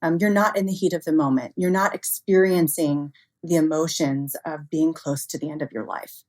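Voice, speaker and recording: A woman's voice: deep voice, fairly even intonation, normal speed, a little mellow. A woman speaking as an expert interview guest, explaining things conversationally with everyday examples. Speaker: interview guest, expert on human error in cybersecurity.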